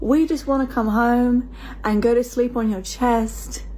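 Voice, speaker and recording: A woman's voice: fairly high pitch, steady tone, unhurried, dark tone, faint music behind. A woman making an emotional, pleading direct appeal in a repetitive, insistent way. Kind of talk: emotional direct-to-camera social media appeal.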